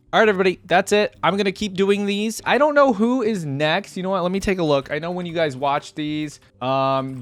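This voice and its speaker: A man's voice: mid-range voice, dry recording. Chatty man, dry close sound. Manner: rambling, thinks aloud, many fillers. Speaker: reaction video host. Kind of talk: casual chat to viewers.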